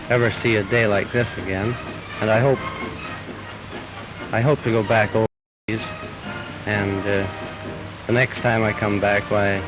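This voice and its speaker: A man from Canada, an airman, giving a short, halting, plain-spoken speech, captured on vintage 1940s newsreel sound. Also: fairly low pitch, poor audio.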